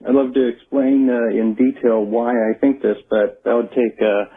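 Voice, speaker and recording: A husky man talks casually as a caller on a radio show. His voice comes through a thin, lo-fi phone connection. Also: medium pitch, flat delivery, moderate pace.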